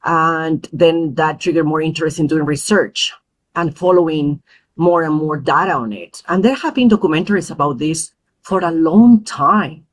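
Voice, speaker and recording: A woman who grew up in Peru, a mother and parent advocate, speaking as a podcast guest in an earnest, thoughtful way with frequent pauses and fillers. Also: sits low. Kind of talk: podcast interview.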